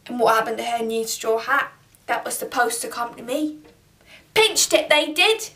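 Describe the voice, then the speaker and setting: A woman's voice: on the high side, lively intonation, normal speed, bright, forceful tone. A woman playing a brash young flower girl with a Cockney accent, gossiping in a chatty way, recorded from a distance. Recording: distant camera mic.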